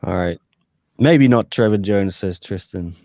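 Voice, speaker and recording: A man's voice: fairly low pitch, measured pace, mellow, dry recording, studio quality. A man co-hosting a post-fight podcast as a sports reporter, chatting casually with jokey asides to listeners.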